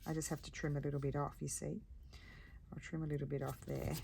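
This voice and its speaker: A woman with a croaky voice, chatting casually and thinking aloud as she makes paper crafts at her craft table. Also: low voice, level tone, fast talker, dark tone, slight background noise. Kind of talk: casual crafting chat while working.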